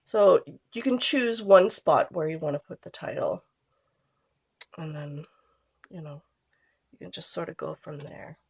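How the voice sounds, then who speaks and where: Relaxed nasal woman with a gravelly voice. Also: deep voice, unhurried, long pauses. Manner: relaxed, chatty, trails off while working. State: nasal from a cold. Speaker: scrapbooking vlogger. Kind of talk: casual craft tutorial chat.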